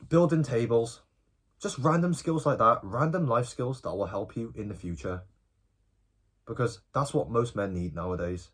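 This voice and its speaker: A man's voice: medium pitch, moderate pace, husky. An eighteen-year-old man, talking casually and informally to camera in a self-improvement vlog. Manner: animated, informal, often tagging lines with "bro" and "okay?".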